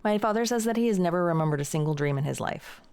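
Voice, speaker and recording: A woman's voice: on the low side, expressive, fast, darkish tone, clean tone. A young woman streaming live, chatting casually and reading out and answering viewer messages. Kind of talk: casual chat reading chat aloud.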